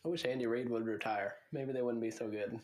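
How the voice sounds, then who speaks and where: A man's voice: mid-range voice, fairly even intonation, a little fast, darkish tone. A young man co-hosting a casual podcast, dry and joking, a bit hyped up. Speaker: podcast co-host. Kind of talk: casual chat.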